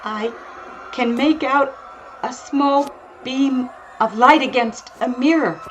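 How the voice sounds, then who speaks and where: An actress playing an excitable, overwhelmed field reporter in a 1930s-style radio drama, speaking in dramatic broken phrases. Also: female voice, high voice, takes it slowly, muffled recording. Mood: tense, hushed. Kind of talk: 1930s radio drama acting, breathless live commentary. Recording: noisy audio with constant ambience.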